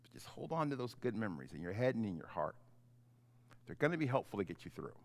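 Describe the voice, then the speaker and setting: Middle-aged man, lowish voice, highly varied intonation, children's pastor, informal storytelling, halting conversational delivery, simple words. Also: big pitch swings. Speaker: pastor giving a children's chapel message.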